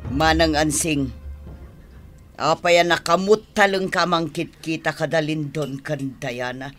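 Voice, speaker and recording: A woman's voice: low-pitched, measured pace, fairly bright tone, faint music behind. A woman acting a cheeky, playful younger woman who teases her friend in an Ilocano radio drama. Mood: teasing, sceptical. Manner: teasing, playful.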